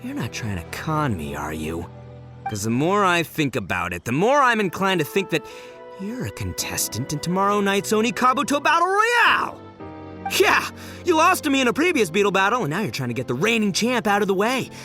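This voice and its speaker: A man's voice: sits high, big pitch swings, brisk, bright, forceful tone, faint music behind, heavily acted. A man voicing a brash, boastful young beetle-fighting champion in a video game, slangy and boisterous, laughing often. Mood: animated.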